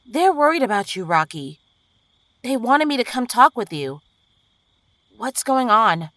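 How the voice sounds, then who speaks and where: A woman's voice: a little high, big pitch swings, measured pace, fairly bright tone, no room sound, clean studio sound. A woman playing a worried young girlfriend in an audio drama, firm and confronting. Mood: stern, worried. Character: worried, stern young girlfriend demanding answers.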